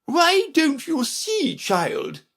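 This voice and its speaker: A woman voicing a sharp, impatient, bossy queen in a children's storybook, speaking emphatically. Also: sits high, wildly swooping pitch, extremely slow, creaky, voice pushed up, exaggerated voice. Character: Red Queen, sharp bossy imperious scolding royal chess piece.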